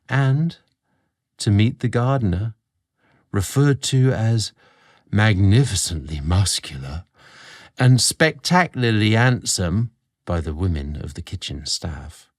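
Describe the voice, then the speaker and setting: A man narrating a scripted romantic story in a dramatic, expressive storytelling manner. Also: on the low side.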